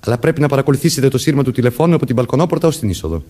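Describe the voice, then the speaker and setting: A man's voice: medium pitch, lively intonation, dark tone, close to an everyday voice. An actor playing a murderer who poses as a commanding policeman, vengeful and childlike underneath, in a theatrical radio drama manner. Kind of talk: Greek radio drama acting.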